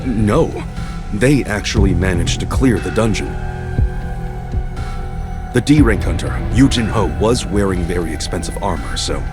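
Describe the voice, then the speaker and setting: An actor playing a dutiful investigator in a game cutscene, reporting in a measured, formal, slightly hesitant way. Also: male voice, low voice, animated. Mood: serious, cautious. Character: careful, dutiful male investigator reporting to his superior. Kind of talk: video game cutscene acting. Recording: loud music under dialogue.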